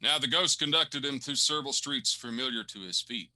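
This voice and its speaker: An actor narrating a Victorian ghost tale as a solemn storyteller in a radio play. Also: male voice, mid-range voice, a little fast, sharp tone, poor audio.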